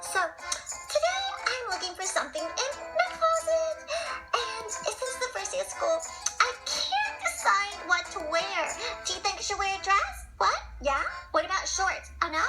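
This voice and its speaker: A gushing, theatrical young schoolgirl telling a children's story. The voice is very high and bright, swooping all over in pitch, with giggles and gasps, over faint music. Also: sing-song, moderate pace, low-quality recording. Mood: chatty, confiding. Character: Camilla, excitable dramatic young schoolgirl who secretly loves lima beans. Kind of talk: animated children's storytelling in character.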